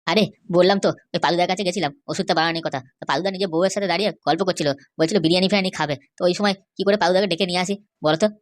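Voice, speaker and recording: A woman's voice: fairly low pitch, steady tone, racing, few pauses, close to an everyday voice. A woman voicing an opinionated village helper in an amateur cartoon, handing out assertive, colloquial advice. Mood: brisk, defensive.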